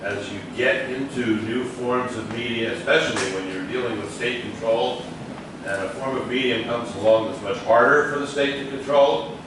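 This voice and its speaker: A man's voice: mid-range voice, normal speed. Man speaking from the floor, very echoey. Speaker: audience member commenting and asking a question. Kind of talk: discursive question from the floor. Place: echoey indoor lecture room. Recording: very echoey room, distant mic, noisy.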